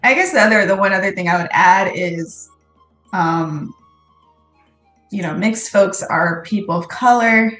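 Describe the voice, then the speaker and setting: Woman guest on a podcast, thoughtful, lots of pauses, echoey room with faint music. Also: medium pitch, fairly bright tone. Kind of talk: casual interview.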